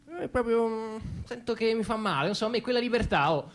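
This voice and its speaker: A man delivering a conversational, satirical theatre monologue in an ironic, hesitant way, with frequent pauses and asides. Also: very high-pitched, lively intonation. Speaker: stage monologist.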